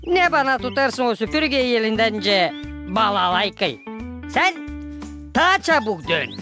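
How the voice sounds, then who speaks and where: An animated, unhurried voice for a comical animal in a children's cartoon. It teases and exclaims with wide swings of pitch. Faint music sits behind it. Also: female voice. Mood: comic complaining.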